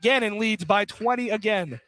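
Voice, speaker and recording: A man's voice: high-pitched, normal speed, fairly bright tone, no echo. A man doing casual, informal live play-by-play commentary of a basketball game for radio. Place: courtside at a college basketball game, noise behind.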